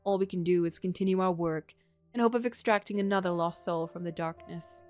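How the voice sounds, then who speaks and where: A woman's voice: fairly low pitch, fairly quick, darkish tone, faint music behind, lightly acted. A woman voicing a weary male hospital worker in a game story, consoling and resigned in a subdued, philosophical way. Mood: weary resignation.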